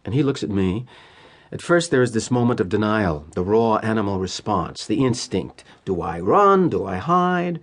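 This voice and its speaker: An actor with an American accent playing a cynical, polished middle-aged corporate executive in an audio drama monologue, wry and deliberate with emphatic pauses. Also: male voice, on the low side, animated. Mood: coolly reflective. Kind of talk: theatrical first-person dramatic monologue.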